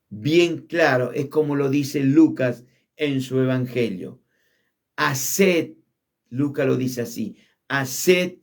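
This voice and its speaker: Emphatic Argentine preacher man. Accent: Argentine Spanish. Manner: emphatic preaching, drops low on key words, shifts between quick and drawn-out phrasing. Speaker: pastor. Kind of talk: sermon.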